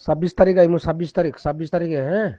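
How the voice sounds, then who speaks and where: A man's voice: fairly high pitch, fairly even intonation, racing, dry recording. A man with a Sylheti-influenced eastern Bengali accent explaining informally on a phone call, repeating himself and checking he is understood. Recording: dull recorded phone call.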